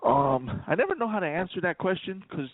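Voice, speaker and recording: A man's voice: high-pitched, sing-song, a little fast, no echo. Stammering New man on a phone line. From South Bronx, New York. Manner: stammering repeats, many "you know" fillers. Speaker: guest rapper. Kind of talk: casual radio interview. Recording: tinny phone line, dry.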